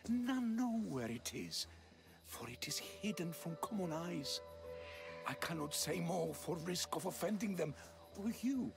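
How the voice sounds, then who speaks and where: A frightened grey-haired old villager in a game, with a creaky, higher voice. He is guarded and awed, and music plays clearly behind him. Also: big pitch swings, a little slow, heavily acted. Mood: fearful, guarded. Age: older. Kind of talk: video game cutscene acting.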